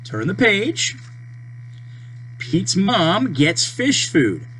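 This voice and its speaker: Very slow, animated children's storybook reader. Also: mid-range voice, poor audio. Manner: deliberate, simple phrasing with page-turn prompts. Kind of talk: children's read-aloud narration. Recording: steady mains hum behind voice.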